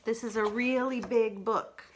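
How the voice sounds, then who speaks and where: A woman's voice: a little high, a little hiss or hum. A woman working as a children's storyteller, chatting casually to young listeners and introducing a storybook. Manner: animated, unhurried storytelling.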